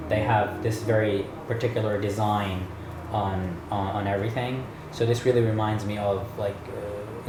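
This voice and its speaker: A man reflecting thoughtfully on an object that reminds him of home, with frequent pauses and fillers. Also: on the low side. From Palestinian community in New York. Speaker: interviewee. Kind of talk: reflective personal testimony. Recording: echoey room with background noise.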